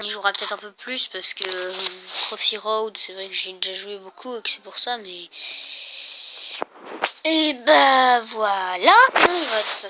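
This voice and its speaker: A woman's voice: medium pitch, very noisy. A woman with a cold, chatting casually and rambling a little as she plays and explains mobile games. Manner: chatty, rambling, lots of fillers. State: has a cold. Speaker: gaming YouTuber. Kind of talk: casual gameplay commentary.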